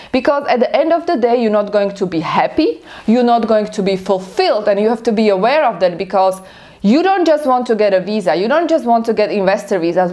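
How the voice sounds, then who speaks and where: A woman's voice: mid-range voice, darkish tone. A woman with a Slovak accent, a native Slovak speaker, giving emphatic instructional advice as a business coach hosting a video. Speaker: online business coach and attorney host. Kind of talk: instructional talk to camera.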